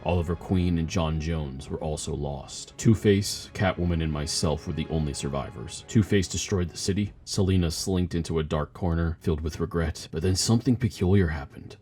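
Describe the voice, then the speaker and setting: A man's voice: low voice, moderate pace, few pauses, dark tone, natural voice. A man playing a weary, haunted cop who survived disaster, narrating a grave scripted audio log. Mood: grim. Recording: voice over background music.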